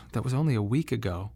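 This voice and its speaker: A man delivering scripted dramatic narration in a radio monodrama, reflective and measured, with emphatic pauses. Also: mid-range voice, gravelly, warm tone. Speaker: playwright performing his autobiographical monologue.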